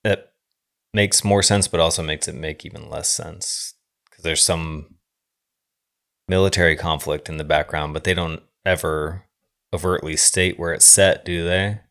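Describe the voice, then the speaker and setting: Deep-voiced man musing about films. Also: fairly even intonation, measured pace, vocal fry, fairly bright tone. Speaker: podcast co-host discussing films. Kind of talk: casual film discussion.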